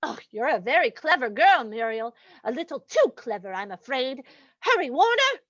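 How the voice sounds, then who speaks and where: A woman's voice: high-pitched, big pitch swings, moderate pace, a bit bright, no room sound, highs cut off, full character voice. A woman playing a gloating, sweetly cruel lady murderess in an old-time radio mystery, cooing mock sympathy at her victim.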